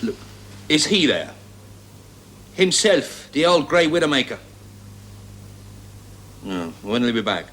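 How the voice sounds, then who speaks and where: An actor playing a domineering, volatile research chief with a British accent, forceful and impatient, in a 1970s television drama. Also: male voice, mid-range voice, sing-song, takes it slowly, pauses often. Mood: irritated. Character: domineering, volatile male head of a research team. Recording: old TV soundtrack, faint noise.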